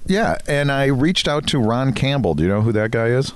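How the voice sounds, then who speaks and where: A man's voice: medium pitch, expressive, normal speed, slight background noise. A man co-hosting a podcast, telling anecdotes casually in a jokey, self-deprecating way. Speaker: podcast co-host.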